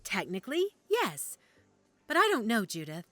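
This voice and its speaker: Over faint music, a woman's fairly high voice moves with lively intonation. An actress plays a helpful, cautious medical examiner in an audio drama, conversational and slightly hesitant. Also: normal speed, no room sound, heavily acted. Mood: hesitant, uneasy. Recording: music under the dialogue.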